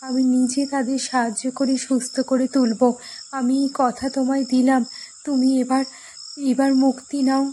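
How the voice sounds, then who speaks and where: High-voiced repentant landlady woman acting. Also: little pitch movement, normal speed, mellow, a little hiss or hum, lightly acted. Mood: contrite, earnest. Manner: contrite, earnest. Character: repentant landlord's wife making a solemn promise. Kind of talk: cartoon story dialogue.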